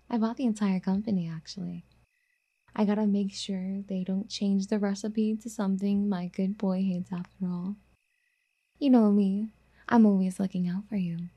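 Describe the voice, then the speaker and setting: A woman playing a doting, possessive rich girlfriend in an ASMR roleplay, soft-spoken and coaxing, often slipping into whispers. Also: medium pitch, breathy edge. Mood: doting, creepy.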